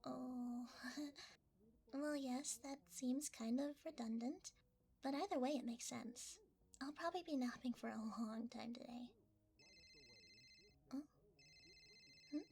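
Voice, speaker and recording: A woman's voice: high voice, slow pace, long pauses, darkish tone. A woman voicing a shy, cuddly shapeshifting creature in an ASMR roleplay, hesitant and easily flustered, often half-whispering. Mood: sleepy, gentle.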